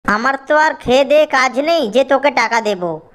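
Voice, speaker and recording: Woman acting grumpy storybook jackal, scolding, possessive, bossy, slightly high pitch. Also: normal speed, a bit bright.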